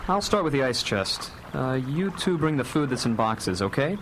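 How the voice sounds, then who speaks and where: A man's voice: mid-range voice, very animated, moderate pace. A man playing a cheerful middle-aged father of a family in a live radio play. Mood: upbeat, bossy. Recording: live radio broadcast with background noise.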